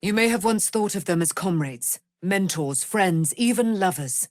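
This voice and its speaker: A woman's voice: medium pitch, lively intonation, unhurried, no echo, studio quality, close to an everyday voice. A woman playing a proud immortal warrior, daughter of a moon goddess, in theatrical fantasy game style with grandiose, archaic diction. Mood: solemn.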